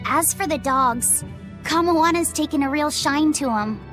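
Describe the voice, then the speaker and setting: A woman's voice: high-pitched, normal speed, fairly bright tone, faint music behind. A woman playing a shy, caring young boy spirit in a video game. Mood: concerned. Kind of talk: English game voice acting.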